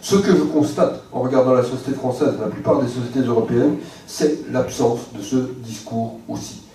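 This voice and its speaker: Man, mellow dark voice, lecture talk, halting fillers, booming hall echo, distant mic. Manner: measured, with frequent hesitant fillers. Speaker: guest speaker. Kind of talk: lecture to an audience. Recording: very echoey hall, mic far off.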